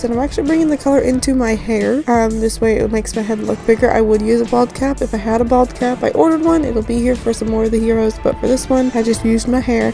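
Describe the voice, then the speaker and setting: A woman with a fairly high, mellow voice that is raspy and a little hoarse from just waking up. She narrates a makeup tutorial casually and loosely, with faint music behind her. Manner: rambling, informal. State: just woke up, morning hoarseness. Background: background music.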